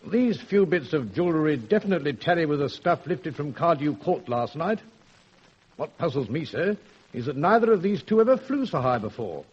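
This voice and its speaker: Shrewd respectful sergeant, radio drama, bit high voice, dull old recording. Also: male voice, sing-song, normal speed, slightly rough. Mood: shrewd, measured. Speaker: actor in a radio detective drama.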